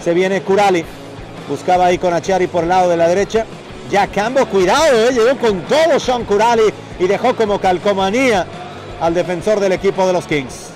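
A man giving excited live hockey play-by-play in a broadcast clip. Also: high voice, soft background music. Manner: excited, rapid calls. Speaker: play-by-play announcer.

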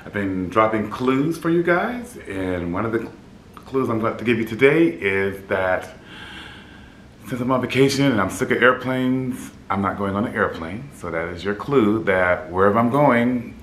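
A man in his fifties with a deep baritone voice, a bit scratchy in the throat, chatting upbeat to the camera in his apartment as a vlog host. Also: animated, normal speed, a bit bright, echoey room. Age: 50s. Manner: upbeat, chatty, laughs easily. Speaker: flight attendant vlogger. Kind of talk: casual vlog chat. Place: apartment room by a city window.

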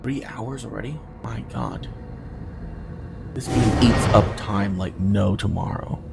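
A man's voice: on the low side, slow pace, creaky, over loud music. A man casually commenting on his video game run, with chatty and exasperated asides. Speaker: gaming channel host.

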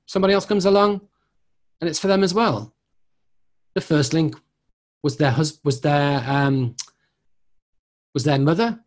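Male tutor, middle-aged, slightly high and husky voice, informal question-and-answer teaching. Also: a little mellow. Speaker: mediumship tutor.

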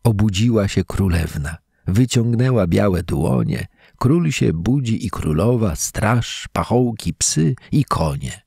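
A man reading a fairy tale in verse aloud, as a measured, dramatic storyteller. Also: on the low side, animated, vocal fry, a little mellow, breathy edge, no room sound, clean studio sound. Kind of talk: expressive scripted fairy-tale narration in verse.

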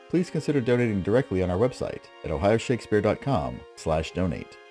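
A man announces for a radio drama broadcast. His voice is mellow and a little low, with very lively intonation as he reads the script. Music plays softly behind him.

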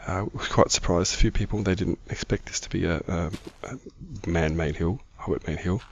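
Croaky, low-voiced man. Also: normal speed, highs cut off. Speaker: gaming video host. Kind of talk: casual Minecraft let's-play commentary.

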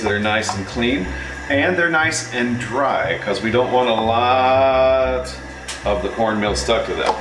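A man's voice: on the low side, distant mic, noisy background. A man in his fifties hosting a casual cooking demonstration in a commercial kitchen, chatting and joking as he cooks. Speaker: cooking show host. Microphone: far camera. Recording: roomy kitchen from off-mic.

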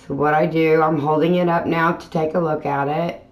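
A woman hosting a craft channel, talking through a tutorial in a casual voiceover with plain, unhurried step-by-step explaining. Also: deep voice, level tone. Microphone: voiceover mic.

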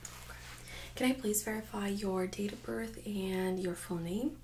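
A woman in her thirties speaking gently and very softly, often near a whisper, in an ASMR medical role-play as an examiner. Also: mid-range voice, unhurried, slight background noise. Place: small indoor room set up as exam office.

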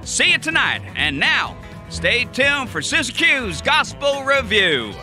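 An actor playing an upbeat small-town radio promoter in an audio drama. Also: male voice, very high-pitched, big pitch swings, slow, music in the background, heavily acted. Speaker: radio announcer.

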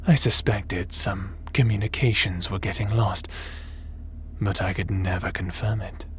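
Slow, menacing low-voiced male crime boss, acted by a man. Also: fairly even intonation, a little hiss or hum. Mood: smug, gloating. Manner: menacing, measured, smug, theatrical. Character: cold, scheming male crime boss craving power. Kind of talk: sci-fi audio drama acting.

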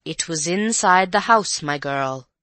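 A woman's voice: fairly low pitch, animated, measured pace, sharp tone, dry recording. A woman voicing a frightened, scornful older housekeeper aunt with a quavering voice in an audiobook story. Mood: startled, scornful.

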